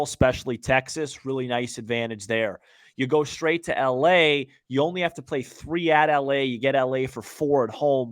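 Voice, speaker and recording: A man's voice: medium pitch, no room sound. A man co-hosting a sports podcast in casual talk, with choppy, conversational phrasing that often repeats words.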